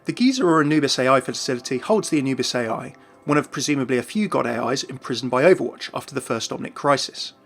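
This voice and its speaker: A man's voice: mid-range voice, animated, fast talker, fairly bright tone. A man narrating scripted commentary for an online video.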